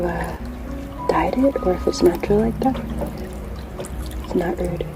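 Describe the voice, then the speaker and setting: A woman playing a playful, sassy young companion to a captive merperson in an ASMR bedtime roleplay, often whispering in a breathy, affectionate way. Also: on the low side, poor audio. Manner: snarky, affectionate, hushed, chatty and halting.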